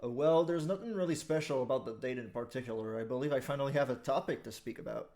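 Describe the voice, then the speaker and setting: A young man with a Quebec French accent talks through a monologue that is loosely read from a script. He speaks English as a second language at a middle pitch, full of "uh" and "like", and he swears now and then. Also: fast, a little mellow. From Quebec, Canada. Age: 20s. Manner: casual, frequent fillers, occasional swearing. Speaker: YouTuber. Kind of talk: monologue commentary, partly scripted.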